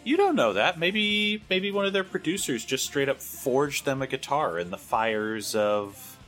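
Wry, lively man telling a podcast story. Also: mid-range voice, measured pace, faint music behind. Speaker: podcast co-host. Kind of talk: casual podcast chat about music history.

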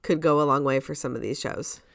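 A woman's voice: deep voice, fairly quick, creaky, a bit bright, no echo, studio quality. A woman co-hosting a podcast in casual conversation about musical theatre. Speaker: podcast co-host.

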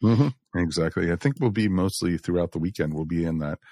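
A man's voice: low-pitched, fairly quick, mellow, no room sound, studio quality. A man hosting a podcast, chatting casually and addressing listeners in a folksy way. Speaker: podcast host.

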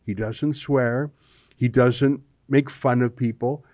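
A man's voice: medium pitch, steady tone, slow, darkish tone, no echo. A Canadian man hosting an opinionated political talk show, speaking emphatically with colourful, dramatic phrasing. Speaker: host of a political commentary show.

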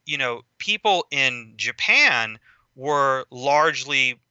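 Bright, crisp-voiced man explaining at length. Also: medium pitch, lively intonation, no echo, lo-fi sound. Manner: measured, explanatory, lecturing at length. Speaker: podcast guest. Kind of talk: casual film podcast discussion.

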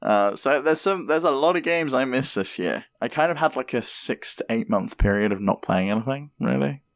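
A man's voice: medium pitch, animated, moderate pace, no room sound, studio quality. A man co-hosting a gaming podcast, chatting in a laid-back, easygoing way. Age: older than his co-host. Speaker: podcast co-host. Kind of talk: casual chat.

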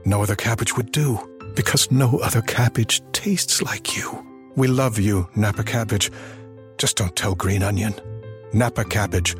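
Male ad announcer, mid-pitched, earnest commercial read over soft music.

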